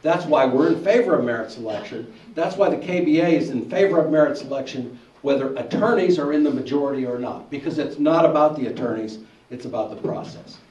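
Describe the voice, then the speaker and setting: A man's voice: on the high side, fairly quick, darkish tone, muffled recording. A man, speaking on a panel at a public forum and arguing his case with a story. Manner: persuasive, storytelling. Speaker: bar association president on a panel. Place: church hall forum. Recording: echoey hall, distant mic.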